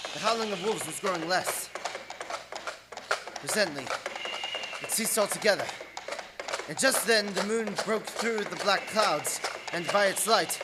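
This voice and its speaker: A man plays an anxious young English gentleman narrating his diary in a radio horror play. His voice is notably high for a man, earnest and dramatic, with an edge of desperation. Music runs clearly underneath. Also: rough recording.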